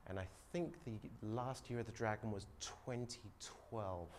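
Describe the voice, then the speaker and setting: A man in his thirties with a fairly low voice narrates as he wanders an empty, abandoned dormitory. He points out and explains what he finds in a curious, easygoing way. The phone camera is held at arm's length, with faint noise behind him. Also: a little slow, darkish tone. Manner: curious, explanatory, talking while walking. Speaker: urban explorer vlogger. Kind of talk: casual walking tour commentary. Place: abandoned dormitory building, indoors.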